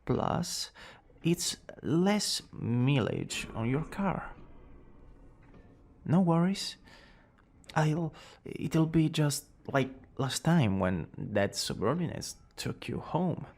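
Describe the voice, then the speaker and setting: Guy doing a smug teasing young villain for asmr romance, slow n drawly, bit of gravel in the voice. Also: on the high side, lively intonation, darkish tone, exaggerated voice. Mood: boastful, playful.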